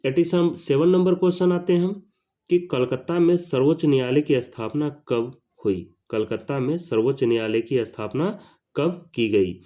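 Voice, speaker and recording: A man with an Indian accent teaching an exam question-and-answer lesson, deliberately repeating each question and answer. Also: medium pitch, warm tone, muffled recording.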